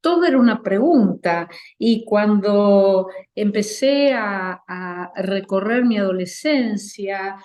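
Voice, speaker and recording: Slow, thoughtful Argentine woman. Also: medium pitch, lively intonation, darkish tone. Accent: Argentine Spanish. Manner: thoughtful, measured, pauses with fillers. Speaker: actress and theater director, guest. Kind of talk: reflective interview conversation.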